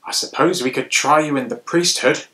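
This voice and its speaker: A man's voice: mid-range voice, normal speed, pushed and bright, voice pushed up, natural voice. A man voicing a stern, fussy schoolteacher in a folktale, scolding with exasperation.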